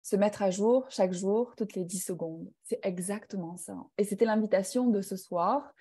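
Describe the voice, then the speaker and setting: A woman's voice: medium pitch, moderate pace, dark tone, slightly rough. A woman leading an online personal growth class, speaking reflectively with frequent hesitations. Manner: reflective, hesitant with many fillers. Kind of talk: live online talk to participants.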